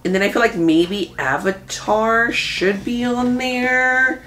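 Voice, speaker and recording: A woman in her thirties talking casually as a movie vlogger, thinking out loud in an informal, conversational way. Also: a little high, slow pace, slight background noise. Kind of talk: casual chatty commentary. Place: small room at home. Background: background music.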